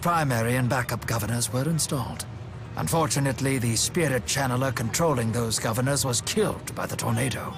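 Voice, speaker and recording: An actor playing a courteous older gentleman strategist in a video game, speaking in a formal, measured, polite way. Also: mid-range voice, gravelly, noisy background, natural voice. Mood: grave, composed. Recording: loud music behind the voice. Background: loud background music.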